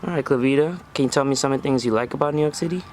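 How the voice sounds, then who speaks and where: A man's voice: mid-range voice, rapid-fire, darkish tone. A man interviewing people on the street, asking easygoing questions and filling the gaps with short affirmations. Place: outdoors on a city street. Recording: noisy street with traffic.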